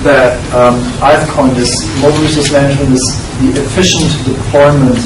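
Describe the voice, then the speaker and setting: A man with a German accent presents from a classroom, stopping and restarting his sentences as he goes. He is heard from a far camera in a roomy, echoing space, with steady room hiss behind him. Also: mid-range voice. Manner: halting, with frequent uh fillers and restarts. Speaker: industry speaker. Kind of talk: conference presentation. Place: classroom with projector screen.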